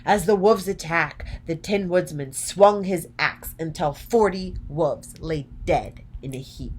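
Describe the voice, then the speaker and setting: A woman narrating a children's story from a script, deliberately, phrase by phrase. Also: sits low, darkish tone, a little hiss or hum.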